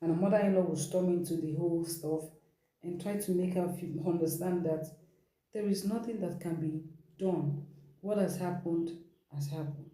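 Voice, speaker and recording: A woman's voice: on the low side, moderate pace, warm tone. A woman hosts an informal storytelling session, retelling someone's account in a conversational way with rhetorical asides. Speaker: storytelling host. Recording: roomy, echoing sound.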